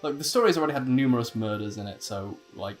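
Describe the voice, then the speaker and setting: Male co-host for gameplay chat, medium pitch, wry and joking, with game music clearly audible behind. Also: expressive, fast. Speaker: let's play co-host. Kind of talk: casual gameplay commentary chat. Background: video game music.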